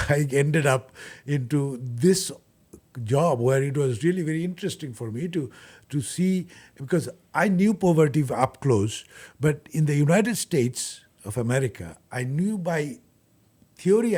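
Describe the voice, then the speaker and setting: A man with a Bangladeshi accent speaks in a fairly high, noticeably husky voice. He explains things earnestly as an interview guest, stumbling and doubling back on his words as he goes. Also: darkish tone. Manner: halting, repeats words, earnest. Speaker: guest, charity furniture bank leader.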